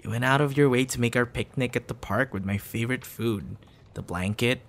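A young man playing a tender, hesitant suitor in an intimate ASMR boyfriend roleplay, speaking softly and haltingly. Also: mid-range voice, fairly even intonation, gravelly, natural voice. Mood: nervous, affectionate. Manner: soft, hesitant, with sighs and chuckles. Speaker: audio roleplay voice actor.

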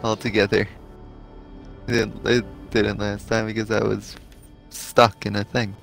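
A man talks in casual gaming chat with friends at a medium pitch. He is a streamer, and video game music runs behind his voice. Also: flat delivery, measured pace, creaky, a bit bright.

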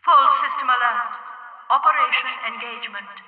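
A woman voicing a cold authoritarian AI dispatcher of a dystopian police state in a video game, deadpan and clinical, through a synthetic loudspeaker filter. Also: a little high, normal speed. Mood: cold, commanding. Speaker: automated announcer. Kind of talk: clipped emergency public-address announcements. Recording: echoing, distant, muffled loudspeaker sound.

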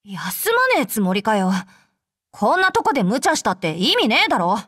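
High, brash tsundere android girl. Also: huge pitch swings, moderate pace, fairly bright tone, no room sound, clean studio sound, exaggerated voice. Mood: gruff, caring. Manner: rough, tomboyish, blunt speech. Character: brash, tsundere young female android soldier. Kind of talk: Japanese anime game character voice lines.

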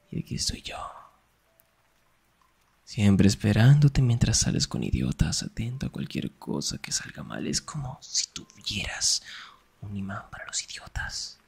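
A man acting a young, sarcastic and jealous best friend in an ASMR roleplay, teasing in a breathy voice that often drops toward a whisper. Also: fairly low pitch, sing-song, a little slow, pauses often, darkish tone, heavily acted. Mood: wry, teasing.

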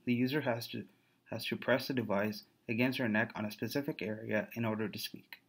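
A young man with a medium-pitched voice reads from a script. He is a student presenter going through slides in a formal project talk. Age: young adult.

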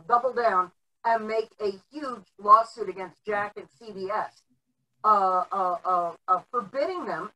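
A middle-aged woman explaining background informally, haltingly, with many filled pauses, on a video call. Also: fairly high pitch, sharp tone. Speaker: panelist giving background on old TV history. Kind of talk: informal explanatory podcast talk. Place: home room, indoors, on video call. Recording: distant mic on video call.

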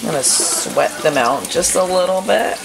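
A woman's voice: on the low side, animated, moderate pace, vocal fry, rough recording. A woman in her thirties chatting casually while she cooks in her home kitchen, talking to herself as she works, recorded on a camera mic. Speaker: cooking vlogger. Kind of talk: casual cooking vlog narration. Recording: home kitchen with running water and noise. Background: kitchen sounds, running tap.

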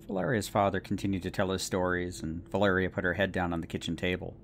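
A man's voice: quick pace, vocal fry. A man narrating a scripted bedtime story for an audio drama. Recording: faint low rumbling noise.

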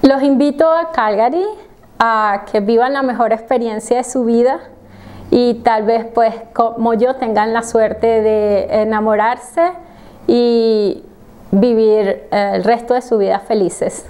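Slow, soft-spoken Venezuelan woman. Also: a little high, steady tone. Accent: Venezuelan Spanish. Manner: unhurried and hesitant, with many soft fillers. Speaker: doctor and former language student. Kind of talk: personal testimonial to camera. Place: indoor room with plants. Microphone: medium shot from a fixed camera.

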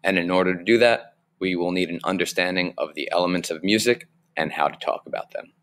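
A young man with a low voice explains music basics slowly and clearly, teaching a recorded online lesson from a small room on his webcam mic. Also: fairly bright tone.

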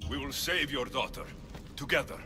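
A man playing a steady, stern mercenary in a video game, terse and controlled, with a dry deadpan. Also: mid-range voice, moderate pace, lightly acted. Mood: encouraging. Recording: long reverb, music behind.

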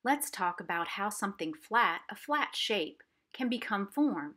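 A woman's voice: mid-range voice, expressive, unhurried, a bit bright, breathy edge. A woman art instructor giving a simple, explanatory craft demonstration for children. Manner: simple, explanatory, addressing young viewers. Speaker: art instructor for a museum family program. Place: indoors at a craft table.

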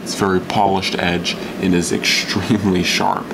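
Low-voiced young man reviewing gear. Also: little pitch movement, a little slow, vocal fry, background noise. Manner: rambling, many fillers and restarts. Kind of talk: casual gear review talk. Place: indoors at a workbench.

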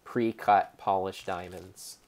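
A young man giving a theatrical, mock-serious dramatic narration over gameplay, speaking deliberately like a storyteller. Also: deep voice, fairly even intonation, a little mellow. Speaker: game streamer.

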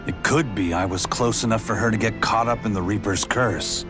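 Guy doing gruff moody older brother fighter, low voice, few words, video game cutscene. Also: normal speed, music in the background, natural voice. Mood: guarded, moody. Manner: laconic, gruff, hesitant. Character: gruff, protective, brooding older brother warrior.

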